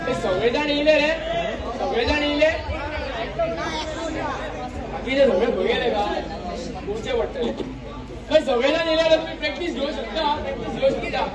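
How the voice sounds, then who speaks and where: A man with a Goan accent acting in an amateur stage play as an excitable, grumbling member of a drama group who repeats his phrases. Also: extremely high pitch, normal speed, long pauses, over a noisy crowd. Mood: bossy. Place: stage in a large hall, distant microphone. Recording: echoey hall from far off, audience noise.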